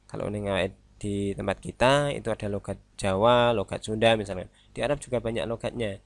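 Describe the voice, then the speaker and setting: A male religious studies teacher, giving a recorded online lesson. He explains step by step, often pausing on "nah" and "eh" and correcting himself as he goes. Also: lowish voice, steady tone, creaky, no room sound.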